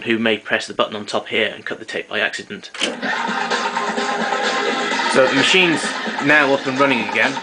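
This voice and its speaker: A man giving an informal technical demonstration, explaining things in a matter-of-fact, slightly hesitant way. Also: mid-range voice. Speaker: tape recorder repairer giving a demonstration. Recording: noisy amateur recording with clicks.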